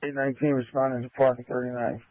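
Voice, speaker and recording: A man's voice: mid-range voice, flat delivery, quick pace, dry recording. A man giving formal fire dispatch calls over a two-way radio. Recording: tinny phone-like scanner radio audio.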